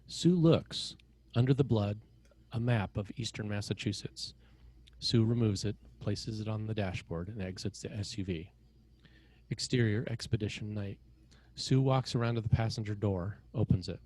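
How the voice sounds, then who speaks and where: A man narrating screenplay action and scene headings in a measured, dramatic way, in short phrases. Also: on the low side, a little mellow.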